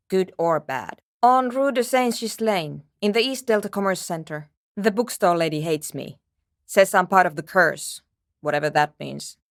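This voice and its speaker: A woman's voice: mid-range voice, no echo, lightly acted. An actress playing a curt, skeptical, world-weary woman programmer in a video game, speaking in a brusque, dry, dismissive way. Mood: stubborn, defensive. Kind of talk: video game character dialogue.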